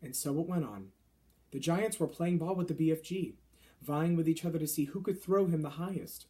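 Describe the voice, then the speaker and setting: A man's voice: on the high side, brisk, dark tone. A man reading a children's novel aloud as scripted narration. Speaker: storybook reader.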